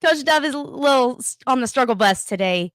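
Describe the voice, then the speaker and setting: A woman hosting a casual sports talk show, upbeat and excitable. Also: high-pitched, dry recording. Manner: upbeat, hyped, quick to laugh. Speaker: podcast co-host.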